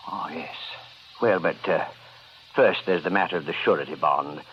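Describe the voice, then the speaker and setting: An actor in a 1940s radio horror drama playing a falsely courteous murderer, fussy and hesitant, repeating himself. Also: male voice, sits low, normal speed, natural voice. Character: sinister, falsely courteous murderer luring lone women. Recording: dull, noisy vintage radio recording.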